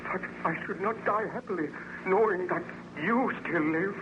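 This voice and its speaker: An actor playing a tormented, vengeful scientist with earnest, formal melodrama in an old-time radio horror serial. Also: male voice, high voice, moderate pace, lo-fi sound, lightly acted. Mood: defiant, hateful. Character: anguished, vengeful male scientist, tormented by his creation. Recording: noisy, band-limited old radio recording.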